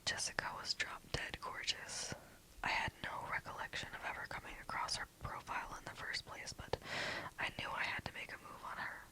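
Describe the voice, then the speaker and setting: A storyteller whispering a first-person story in a soft, hushed voice throughout. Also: moderate pace, low-quality recording.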